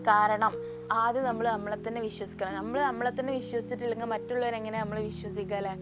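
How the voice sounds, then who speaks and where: A woman vlogger chats informally to her viewers, mixing in English words as she announces her small business. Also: a little high. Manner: chatty, informal, addressing viewers directly with English words mixed in. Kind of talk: casual vlog announcement to viewers. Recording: clear music playing behind voice.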